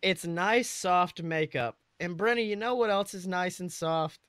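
A man's voice: sits high, measured pace, a bit bright, no echo, studio quality. A man co-hosting a podcast in casual comedic chat, joking with lots of slang and swearing. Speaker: podcast co-host.

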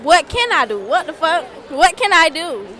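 Very high-voiced playful teen girl. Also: big pitch swings, normal speed, sharp tone, a faint crowd. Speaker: interviewee. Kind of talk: street interview answers. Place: outdoor school courtyard.

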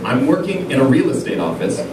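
A man's voice: mid-range voice, sing-song, brisk, very noisy. A man in his thirties from Alaska telling comic stories on stage in a dry, self-deprecating way. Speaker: cabaret pianist and storyteller. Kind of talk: comic stage storytelling to a live audience. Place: small cabaret room, indoors. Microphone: stand microphone at piano, filmed from afar. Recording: echoey room, distant camcorder audio.

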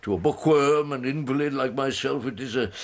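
An actor playing an elderly, courtly invalid professor in a radio drama, British, wheezy and halting, with ornate phrasing. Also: male voice, medium pitch, close to an everyday voice. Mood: mournful. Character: elderly invalid scholar, courtly, self-pitying, secretly scornful.

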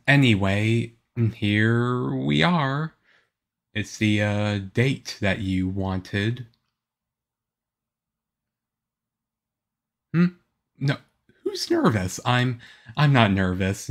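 A man playing a shy, nervous teenage-looking vampire boyfriend in an ASMR roleplay, halting and stammering awkwardly. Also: lowish voice. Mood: flustered, awkward. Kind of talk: ASMR audio roleplay, one-sided conversation.

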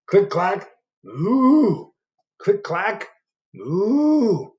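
A middle-aged man reading a picture book aloud to children at home on a webcam, animated and asking them questions. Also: high-pitched, drawn-out words, echoey room, lo-fi sound. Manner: animated, asks the young audience questions. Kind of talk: children's storytime read-aloud. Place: home living room. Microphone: webcam at desk.

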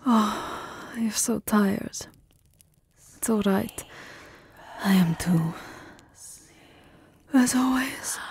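A woman's voice: mid-range voice, darkish tone, no room sound, full character voice. A woman voicing a lovesick immortal vampire countess in a tender, intimate, pleading ASMR roleplay. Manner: breathy, intimate, pleading, drawn-out phrasing.

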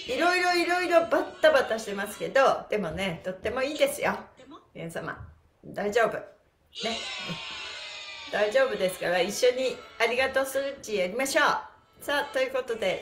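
A woman's voice: medium pitch, fairly bright tone, echoey room. A middle-aged woman leading a live stretch class, cheerful and giggly, chatting to herself between chanted instructions. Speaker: stretching instructor and livestream host. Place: small bare-walled room indoors, seated on mat.

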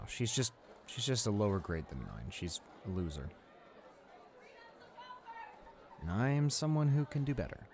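Guy doing a brash young school bully w a secret soft side, voice jumps around a lot, audio roleplay. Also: low voice, noisy background. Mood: flustered, smitten. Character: cocky male school bully with a hidden soft spot. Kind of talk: dramatic audio roleplay, monologue.

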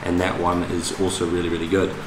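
A man's voice: low-pitched, monotone, brisk. A young man chatting casually to camera as a fitness vlogger, with blokey, slangy, sweary talk. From New Zealand. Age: young adult. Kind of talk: casual vlog chat to camera. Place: indoors in kitchen, living room and gym. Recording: echoey room with background noise.

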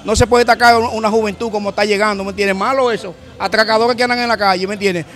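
A man's voice: high-pitched, fairly even intonation, rapid-fire, a bit bright. A middle-aged man with a Dominican accent chatting casually on the street, repeating himself and checking he is understood. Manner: repetitive, keeps checking he is understood. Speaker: passerby interviewee. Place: outdoors on a sidewalk by a shop. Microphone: interviewer's handheld microphone.

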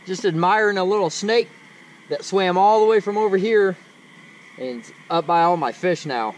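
A fairly high-voiced man chats excitedly while reeling in fish outdoors at night. He is recorded on an action camera that sometimes sits a few steps away, with faint crickets and frogs behind him. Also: normal speed, a little hiss or hum, rough recording. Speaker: fishing vlogger. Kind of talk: casual outdoor commentary. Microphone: action camera, sometimes far.

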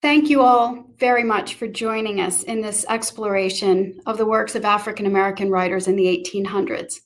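A woman's voice: medium pitch, fairly even intonation, moderate pace. A middle-aged woman hosting an online event, giving prepared thanks and announcements over a video call. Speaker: event host. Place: small book-lined room indoors.

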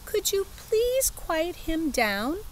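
A woman doing a loud little kindergarten girl. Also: extremely high pitch, big pitch swings, takes it slowly, slight background noise, pitched above the performer's own voice, exaggerated voice. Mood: eager. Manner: loud, eager, pleading. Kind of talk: children's storybook read-aloud.

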